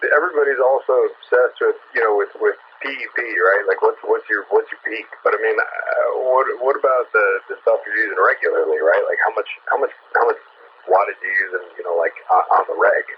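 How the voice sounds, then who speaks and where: Lowish-voiced man on muffled radio. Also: fairly even intonation, a little fast. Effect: two-way radio. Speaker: amateur radio operator chatting on air. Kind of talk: casual radio chat. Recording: noisy band-limited CB radio transmission.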